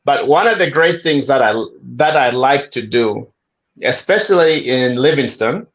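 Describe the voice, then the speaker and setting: Brief: friendly Zambian man in his forties, easygoing storyteller, mid-pitched voice, dull lo-fi sound. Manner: relaxed, friendly storytelling with asides. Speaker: guest. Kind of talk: casual interview chat.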